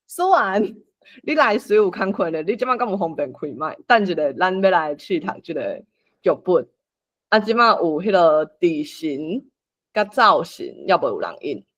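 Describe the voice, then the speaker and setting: A host with a Taiwanese accent casually organizing people in an online meeting, coaxing and animated. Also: medium pitch, measured pace. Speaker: host assigning roles for a script read-along.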